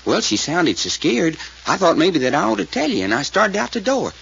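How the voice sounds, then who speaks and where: An actor playing a folksy, excitable Texan detective sidekick in a 1940s radio serial. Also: male voice, sits high, brisk, vocal fry, a little hiss or hum, heavily acted. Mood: earnest recounting. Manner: folksy, ungrammatical drawl. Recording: dull old radio serial recording.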